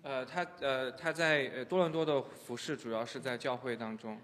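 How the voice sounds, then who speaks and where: A man's voice: mid-range voice, steady tone, moderate pace, a bit bright. Hesitant male church interpreter. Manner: hesitant, many filler sounds. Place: church hall. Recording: echoey hall.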